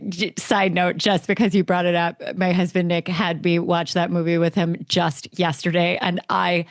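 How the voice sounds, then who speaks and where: A woman's voice: on the low side, little pitch movement, normal speed, dry recording. A woman co-hosting a motorsport podcast, giving blunt, wry opinions in casual, emphatic chat. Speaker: podcast co-host discussing motorsport.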